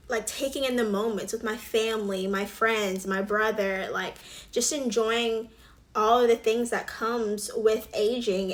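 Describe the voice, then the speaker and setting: Young woman, mid-twenties, a touch high-voiced, chatty get-ready-with-me vlogger full of fillers and asides. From Alabama. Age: 20s. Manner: chatty, filler-heavy, drops to whispered asides. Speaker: beauty vlogger. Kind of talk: casual get-ready-with-me chat.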